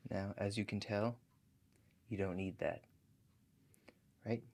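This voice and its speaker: A man playing a calm, attentive relaxation agent in a soft-spoken ASMR roleplay, talking slowly and gently, with reassuring instructions. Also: on the low side, vocal fry, heavily acted. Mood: calmly explaining. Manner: slow, gentle, reassuring, instructive, often checking in. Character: calm, attentive male house-call relaxation agent guiding breathing.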